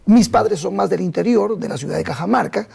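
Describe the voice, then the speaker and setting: A man's voice: high-pitched, brisk, vocal fry, darkish tone, breathy edge. A man with a Peruvian accent, a pastor interviewed as a guest, recalling his childhood and often repeating words as he searches for them. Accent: Peruvian Spanish. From Peru.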